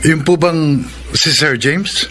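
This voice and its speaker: Polite, bright-voiced young man. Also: a little high, takes it slowly, a little hiss or hum. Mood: curious, respectful. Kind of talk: Filipino radio drama acting.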